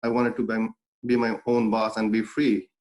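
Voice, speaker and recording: A man's voice: fairly low pitch, little pitch movement, normal speed, darkish tone. A young man from Bangladesh, a native Bengali speaker, tells his life story as an interview guest, earnest and reflective, with many fillers and restarts. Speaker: guest, clothing brand founder.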